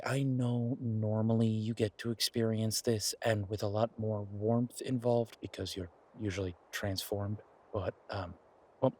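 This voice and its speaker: Husky, low-voiced boyfriend played by a man. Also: a little mellow. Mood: coaxing, defensive. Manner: casual, halting, coaxing. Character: affectionate, eager boyfriend pitching grand ideas to his werewolf girlfriend. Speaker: audio roleplay performer. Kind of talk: one-sided boyfriend audio roleplay.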